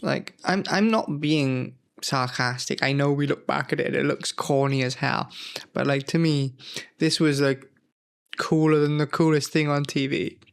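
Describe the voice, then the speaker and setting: A man's voice: mid-range voice, moderate pace, creaky, smooth. A man hosting his own gaming channel, talking casually and rambling as he looks back through old magazines, stopping often to correct himself. He is feeling unwell. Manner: rambling, self-correcting, thinks aloud. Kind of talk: casual nostalgic commentary.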